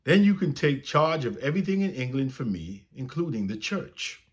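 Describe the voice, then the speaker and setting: A man voicing a proud, hot-tempered young English king in a storybook, with forceful, declamatory delivery. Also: mid-range voice, very animated, normal speed, pitched above the performer's own voice, close to an everyday voice. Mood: commanding.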